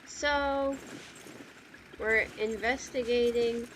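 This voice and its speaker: A woman's voice: a little high, fairly even intonation, drawn-out words, background noise. A woman livestreamer talking casually to her chat while playing a game, chatty and informal. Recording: game music behind the voice. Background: video game music.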